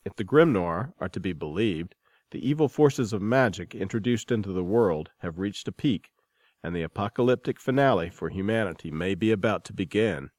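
A man's voice: fairly low pitch, darkish tone, no room sound, studio quality. A man hosting, reading a scripted introduction and recap in a narrator's manner. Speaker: podcast host.

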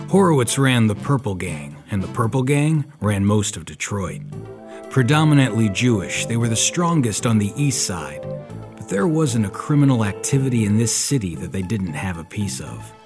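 Tough 1930s private eye, dry and plainspoken but kind, swingy intonation, music under him. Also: male voice, fairly low pitch, big pitch swings, normal speed. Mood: hardboiled narration. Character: tough, laconic, kindly 1930s detective hero. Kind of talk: hardboiled audio drama acting and narration.

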